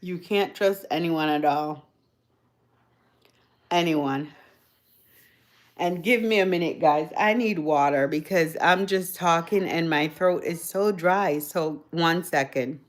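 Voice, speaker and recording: A woman's voice: fairly low pitch, slow pace. A woman from Jamaica with a Jamaican accent, chatting casually with viewers on her livestream, rambling and laughing often. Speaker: livestream host. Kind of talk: casual live chat with viewers.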